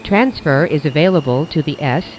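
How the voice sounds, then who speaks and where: A train announcer reading scripted automated station announcements in a measured, formulaic way. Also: medium pitch, animated, a little hiss or hum.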